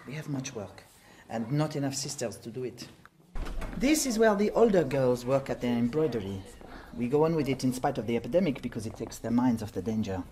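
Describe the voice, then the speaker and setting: An actress playing a gracious, gently reproving French Mother Superior in a radio drama, speaking formally and with measured courtesy. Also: very deep voice, lively intonation. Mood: earnest, courteous.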